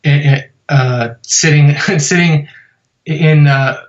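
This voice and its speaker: A man's voice: on the high side, fairly even intonation, very slow. Rambling man telling stories, lo-fi. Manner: rambling, many fillers and restarts. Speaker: podcast guest, musician. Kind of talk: casual storytelling interview.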